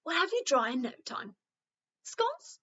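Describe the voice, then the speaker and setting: A kindly old storybook lady, acted by a woman reader chats away merrily and reassuringly. Her voice is high and bright, with very lively swoops of pitch. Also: a little slow, muffled recording, exaggerated voice. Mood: cheerful chatting. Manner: chatty, merry, reassuring.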